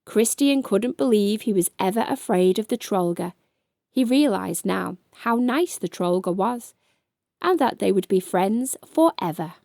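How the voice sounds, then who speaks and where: A woman's voice: medium pitch, expressive, unhurried, gravelly, darkish tone, no echo, studio quality. A woman storyteller reading a children's bedtime story aloud.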